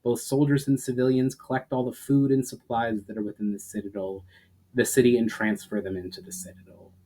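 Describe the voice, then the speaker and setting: A man voicing a decisive male elf war leader in a fantasy storybook, speaking in an assured, deliberate, matter-of-fact way. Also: mid-range voice, flat delivery, dark tone, close to an everyday voice, low-quality recording.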